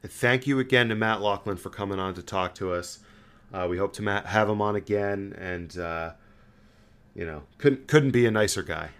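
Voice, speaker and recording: A man with a somewhat low voice hosts a sports podcast. He talks in a casual, conversational style, as one fan to another. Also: normal speed, clear, resonant tone. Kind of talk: casual hockey podcast chat.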